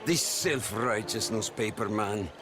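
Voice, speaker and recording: An actor playing a rich, contemptuous Italian crime boss in a video game cutscene, with an Italian accent and a theatrical, mocking manner. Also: male voice, lowish voice, a little slow, natural voice. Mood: gleeful contempt. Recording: clear outdoor party noise behind.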